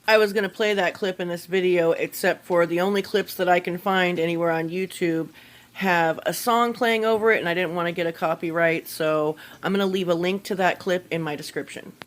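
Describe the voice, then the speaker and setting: Lower-pitched American woman giving commentary. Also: fairly even intonation, brisk. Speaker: commentary video creator. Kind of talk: opinion commentary narration.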